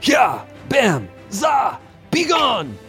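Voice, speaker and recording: A man's voice: very high voice, huge pitch swings, drawn-out words, fairly bright tone, faint music behind, voice pushed up, exaggerated voice. A man voicing a brawny, boastful half-elf hero, declaiming in mock-heroic radio drama style. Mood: bold, bombastic. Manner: declamatory, bombastic.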